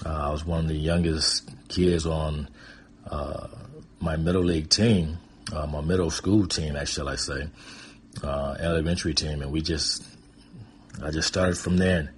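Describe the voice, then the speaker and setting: A man in his fifties from Michigan with an American accent, telling personal stories in an informal, rambling, hesitant way with a husky voice. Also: very deep voice, steady tone, normal speed, a little hiss or hum. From Pontiac, Michigan, United States. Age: 50s. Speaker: guest storyteller. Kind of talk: casual personal storytelling.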